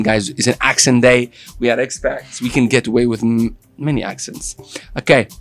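A man's voice: mid-range voice, no room sound. A man with an Italian accent hosting a daily show in a playful, joking way. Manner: playful, joking, jumps between topics. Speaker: show host. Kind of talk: lively daily show chat.

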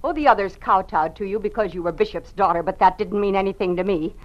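A woman's voice: mid-range voice, quick pace, close to an everyday voice. An actress playing a spiteful, gloating party informer in an old-time radio drama, taunting and sneering as she talks. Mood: vindictive, scornful. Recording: dull, band-limited old radio recording.

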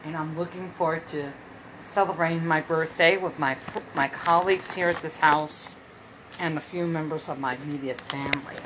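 A woman's voice: deep voice, fairly even intonation, normal speed, noisy background. A woman in her early fifties hosting a casual solo podcast from her room at home, rambling and often repeating herself. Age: 50s. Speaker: podcast host.

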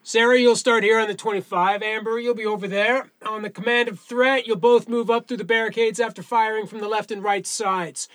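A man playing a brisk, encouraging firearms instructor in an audio drama, with clipped, commanding delivery. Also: high voice, steady tone, a bit bright, dry recording, poor audio. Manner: commanding, clipped instruction with coaxing pep talk.

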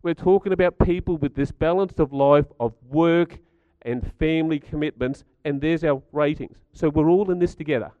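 Croaky man giving a talk. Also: fairly high pitch, normal speed, hardly any pauses, dry recording, narrow, tinny audio. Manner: chatty, stats-driven, asks the audience questions. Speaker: speaker at a community gathering. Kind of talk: talk to a live audience.

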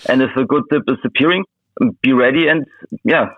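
A man with Russian as his mother tongue talks casually as a trader being interviewed, feeling his way through sentences. His pitch is average for a man, and the sound is narrow and phone-like, with no room echo. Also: brisk. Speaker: interview guest, meme coin trader. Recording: thin phone-like voice-call audio.

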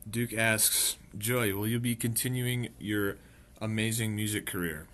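A man co-hosting a comic podcast, telling tall tales deadpan with hesitant fillers. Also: lowish voice, a little slow. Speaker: podcast co-host.

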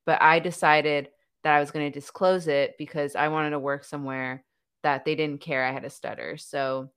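A young adult woman with a stutter, a podcaster talking openly in a relaxed conversation. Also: sits low, normal speed, smooth. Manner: stutters, laughs between thoughts. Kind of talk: conversational interview chat.

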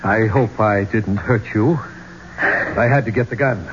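An actor playing a smooth-talking, greedy archeologist turned thief, with persuasive, theatrical delivery in a 1970s radio drama. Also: male voice, on the low side, unhurried, lightly acted. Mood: regretful, determined. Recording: muffled, noisy old radio recording.

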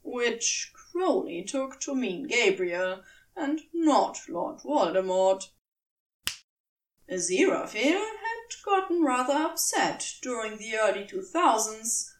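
A woman reading a scripted story aloud as an expressive narrator. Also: a little high, roomy sound, recorded from a distance, low-quality recording. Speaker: podfic narrator.

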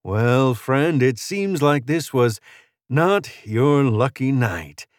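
A man voicing a swaggering, hot-tempered card-cheat outlaw with gruff cowboy talk, in a western audiobook. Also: mid-range voice, expressive, slow, no room sound, clean studio sound. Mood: smug.

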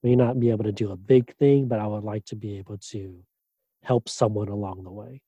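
A man, a doctoral student, answering interview questions in a relaxed way, pausing often with fillers and restarts. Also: lowish voice, breathy edge, no room sound. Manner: hesitant, many fillers and restarts.

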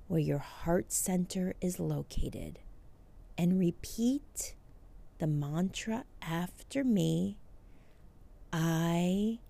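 Very slow, low-pitched woman guiding meditation. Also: dark tone. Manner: slow, soothing, with breathy near-whispered phrase endings. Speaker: podcast host and meditation guide. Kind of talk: guided meditation.

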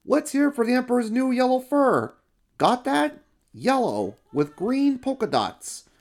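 A man voicing an eager, fussy royal helper in a storybook reading, talking loud and high. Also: very animated, unhurried, exaggerated voice. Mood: cheerleading.

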